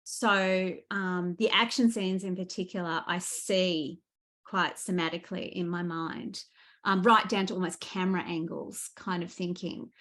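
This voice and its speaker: A woman chatting casually as a guest author, thoughtful and animated in how she explains things. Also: fairly low pitch, unhurried. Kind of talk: casual book interview chat.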